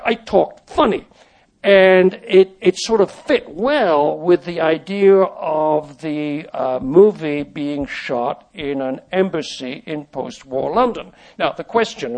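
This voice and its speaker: An older man whose first language is French, telling stories as an interview guest in a halting, rambling way full of restarts. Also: on the high side, vocal fry. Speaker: interview guest, former child actor. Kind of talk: conversational storytelling.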